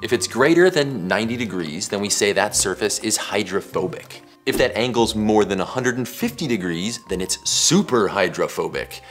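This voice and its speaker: American guy doing a science explainer, kinda low voice, swings up and down a lot, light music behind. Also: moderate pace, hardly any pauses. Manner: animated, casual, emphatic. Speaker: science show host. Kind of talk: conversational science explainer to camera. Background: background music.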